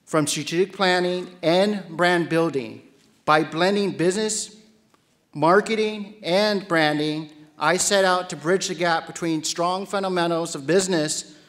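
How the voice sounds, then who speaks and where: Middle aged guy doing a grad speech at a podium, kinda high voice, really slow w/ big pauses. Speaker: commencement speaker, brand strategist. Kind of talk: prepared formal motivational speech. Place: large theater stage at a podium. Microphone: podium microphone.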